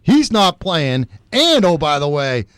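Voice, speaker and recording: A man's voice: fairly high pitch, big pitch swings, slow pace, a bit bright, clear, resonant tone, dry recording. A man hosting a sports radio show from the studio, chatty and wry with chuckling asides. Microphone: studio microphone.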